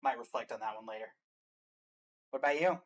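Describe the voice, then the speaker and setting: A reaction video host chatting casually, thinking out loud and changing course mid-thought. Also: mid-range voice. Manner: deliberates aloud, changes mind mid-thought.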